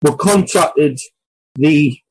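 A man talking unscripted as an interview guest, halting, repetitive and insistent. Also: fairly high pitch, darkish tone, rough recording. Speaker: guest campaigner. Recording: clear background noise.

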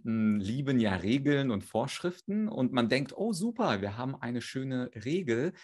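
Ein Mann aus der Ukraine, Rhetoriktrainer, der als Gast flüssig und erklärend spricht. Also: male voice, medium pitch, big pitch swings, unhurried, darkish tone. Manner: fluent, explanatory. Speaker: rhetoric trainer, guest. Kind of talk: expert interview.

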